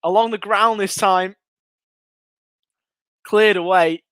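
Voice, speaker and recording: A young English man hosting a live fan watchalong, commentating on a match and reading out viewer comments, excitable and chatty, his voice going hoarse. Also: high voice, slow, no echo. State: losing his voice.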